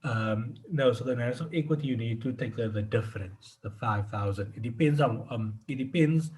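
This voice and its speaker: A man's voice: medium pitch, brisk, a little mellow. A man working as a tutor, explaining in an informal one-on-one session, often repeating and rephrasing himself as he goes. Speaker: accounting tutor.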